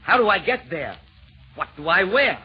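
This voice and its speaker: An actor playing a fussy, reluctant villain emperor in an old radio comedy, speaking in theatrical rhyme. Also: male voice, sits high, sing-song, normal speed, breathy edge, soft background music, heavily acted. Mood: fussy, flustered. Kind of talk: 1940s radio comedy acting. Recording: muffled old-time radio recording.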